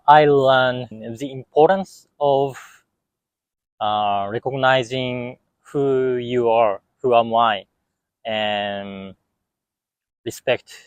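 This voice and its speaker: A young man from Japan with a Japanese accent, a student answering interview questions haltingly, often pausing to search for words. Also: mid-range voice, darkish tone, clean tone, no echo.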